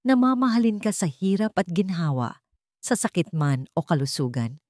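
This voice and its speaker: A woman's voice: on the low side, big pitch swings, moderate pace, darkish tone, dry recording. Devoted adult groom read by a female narrator, emotional, earnest romantic storybook drama, dry sound. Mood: solemn vow. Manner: trembling, earnest.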